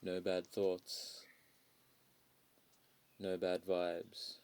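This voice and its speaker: Very slow, low-pitched solemn man. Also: completely flat delivery, a little mellow, clear, resonant tone. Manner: solemn, deliberate short declarative phrases. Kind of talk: dramatic spiritual monologue.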